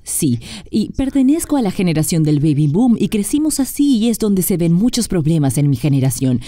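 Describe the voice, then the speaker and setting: A woman's voice: fairly low pitch, very animated, moderate pace, mellow, no room sound. A woman speaking as an interview guest, earnestly and emphatically explaining her points in a dubbed conversation.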